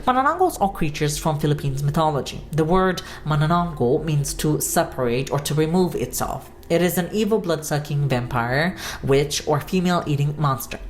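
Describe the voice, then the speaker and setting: Low-voiced woman narrating. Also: animated, normal speed, few pauses, slight background noise. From Romania. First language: Romanian. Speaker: documentary narrator. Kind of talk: scripted narration.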